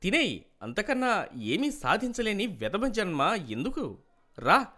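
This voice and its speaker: A man's voice: high voice, wildly swooping pitch, a little slow, sharp tone, heavily acted. A man voicing a determined young village dreamer in a storybook tale, earnest and emphatic. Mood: defiant. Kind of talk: dramatic storybook character acting.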